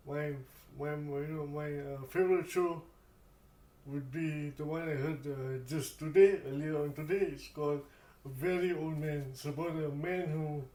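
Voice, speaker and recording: Male tech demo host, fairly high voice, casual stop-start delivery with repeated words, echoing room sound. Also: fairly even intonation, normal speed, dark tone. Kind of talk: casual spoken tech demonstration.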